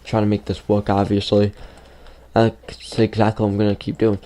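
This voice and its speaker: A man's voice: on the low side, monotone, moderate pace, mellow. A fifteen-year-old boy telling a rambling story over gameplay, full of fillers, while losing his voice. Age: teens. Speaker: gaming YouTuber.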